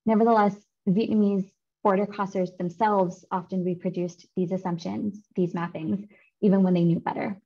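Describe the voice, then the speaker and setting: Woman in her 30s presenting research over a video call, mellow voice, kinda muffled audio, calm and clear. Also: mid-range voice. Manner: clear, measured academic delivery. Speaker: seminar speaker, author presenting research. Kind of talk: academic talk over slides. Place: home room.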